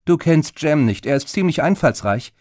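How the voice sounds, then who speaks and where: A man voicing a wary, impatient young woman in an audiobook, raising his pitch for her. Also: expressive, moderate pace, a little mellow, no echo, studio quality. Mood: insistent.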